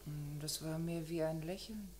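A woman's voice: low-pitched, normal speed, crowd noise, close to an everyday voice. An actress playing a wistful, loyal, guarded unmarried woman over forty in a mid-century radio crime drama, speaking with restraint. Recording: noisy old radio drama recording.

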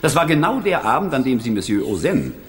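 A man acts out a stern presiding judge in a radio crime drama. He speaks emphatically, with very lively intonation and a mid-range pitch, dripping sarcasm and short on patience. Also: fairly quick, a little hiss or hum, lightly acted. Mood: sarcastic, probing. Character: sarcastic, irritable male presiding judge in court. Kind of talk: German radio play acting.

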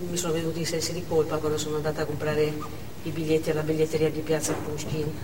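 A woman playing a devoted, excitable working mother in a chatty theatrical monologue. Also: sits low, quick pace, lightly acted. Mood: chatty, fond. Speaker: stage actor. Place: indoor theatre stage, recorded from afar. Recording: distant, echoey hall with noticeable noise.

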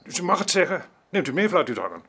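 An actor playing a brusque landowner in a radio drama, brisk and matter-of-fact. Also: male voice, high voice, big pitch swings, bright, forceful tone, husky, exaggerated voice. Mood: brisk, dismissive. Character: brusque, busy landowner, son of a painting thief.

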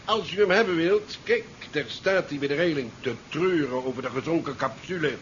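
An actor playing a curt, sardonic ship commander in a 1970s science fiction radio play. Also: male voice, fairly high pitch, normal speed, fairly bright tone, lightly acted. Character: curt, sardonic male ship commander worried about career. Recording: muffled 1970s radio drama recording, faint noise.

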